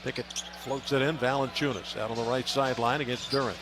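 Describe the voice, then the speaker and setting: A man with an American accent calls a basketball game live on radio. His pitch is average and his phrases come short and clipped. Loud arena ambience sits behind him. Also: fairly even intonation, fast, background noise. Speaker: play-by-play radio announcer. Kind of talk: live basketball play-by-play commentary. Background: arena ambience, bouncing basketball.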